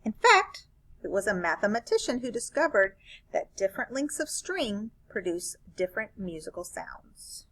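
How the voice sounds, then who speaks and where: A woman's voice: mid-range voice, very animated, moderate pace, fairly bright tone, rough recording. A woman reading a children's picture book aloud, voicing a curious, cheerful space alien who explains things eagerly. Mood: eager explaining.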